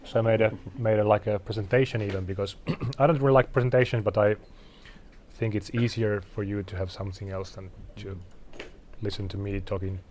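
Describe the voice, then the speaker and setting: A Finnish man in his forties, a designer giving a casual talk with slides to an audience, halting and self-deprecating, clearing his throat often. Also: on the low side, steady tone, a little mellow, a little hiss or hum. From Finland. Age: 40s. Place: event venue in front of an audience.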